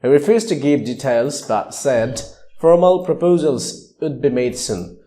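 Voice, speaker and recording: Mellow-voiced male news reader. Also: medium pitch, expressive, unhurried. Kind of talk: scripted news narration.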